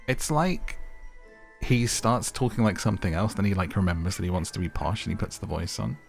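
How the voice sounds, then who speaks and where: A man's voice: deep voice, brisk, creaky, a little mellow, faint music behind. A man giving casual gameplay commentary, chatty and musing aloud. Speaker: gaming YouTuber.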